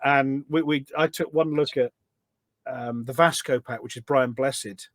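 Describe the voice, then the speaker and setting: A man's voice: medium pitch, steady tone, unhurried, fairly bright tone, dry recording. A man with a mid-range voice speaks casually as an interview guest. He is enthusiastic and wanders through his anecdotes in a loose, conversational way. The sound is dry, with very little room in it. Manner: enthusiastic, rambling storyteller. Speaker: podcast guest, game voice pack producer.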